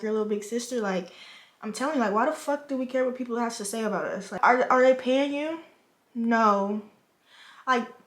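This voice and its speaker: A woman in her twenties from the Virgin Islands talks straight into the camera at her vanity, frank and sisterly, and swears freely. Her voice sits a little high. The room gives off a clear echo. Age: 20s. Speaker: vlogger. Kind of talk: casual chat while doing makeup.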